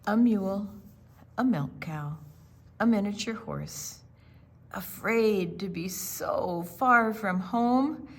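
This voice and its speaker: A woman reading a picture book aloud to young children in a deliberate, storytelling way, with asides to her listeners. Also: medium pitch. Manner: slow, deliberate phrasing with questions and asides to the listeners. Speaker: library storytime reader. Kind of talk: expressive read-aloud narration for young children.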